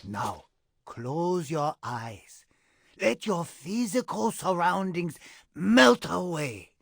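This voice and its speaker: An aging, eccentric rat sensei from an animated game, husky and full of gravel. He teaches in a slow, theatrical way, like a father instructing his sons. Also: on the high side, no room sound, exaggerated voice. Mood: calm guiding. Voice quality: raspy, gravelly. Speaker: martial arts teacher.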